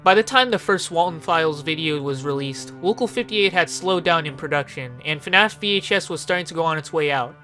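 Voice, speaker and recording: A video essayist narrates in a medium-pitched voice. The delivery is informal and opinionated, with casual swearing. Music plays behind the voice. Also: expressive, brisk, few pauses, sharp tone. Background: background music.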